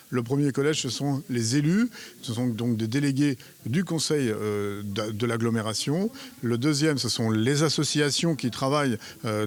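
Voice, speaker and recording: A man giving measured explanatory interview answers as a local official, hesitating and repeating words as he searches for phrasing. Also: mid-range voice.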